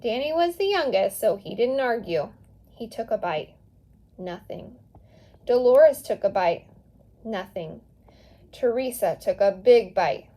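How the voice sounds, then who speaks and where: A woman reading a children's story aloud in an animated, expressive way with emphatic pauses. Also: medium pitch. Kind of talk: animated children's read-aloud with casual asides.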